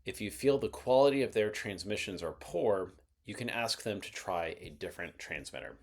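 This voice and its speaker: A man reading scripted instructional narration in a measured, methodical, explanatory way. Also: fairly low pitch, expressive.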